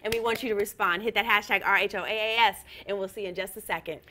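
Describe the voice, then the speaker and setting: A woman's voice: medium pitch, fairly even intonation, fast talker, pushed and bright, a little hiss or hum. A woman hosting a casual panel chat about reality television, playfully steering the conversation. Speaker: panel show host.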